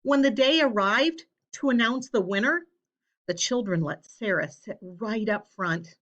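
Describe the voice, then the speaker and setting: A woman reading a children's story aloud in an expressive, measured way, pausing for emphasis. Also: medium pitch, lo-fi sound. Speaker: storybook reader. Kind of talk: children's read-aloud, scripted narration.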